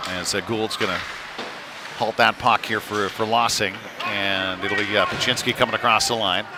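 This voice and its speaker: A man's voice: on the low side, steady tone, normal speed. Man calling hockey over rink noise. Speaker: hockey play-by-play commentator. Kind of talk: live sports commentary. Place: indoor ice rink during a game. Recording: noisy rink sound behind the voice. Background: clear venue noise.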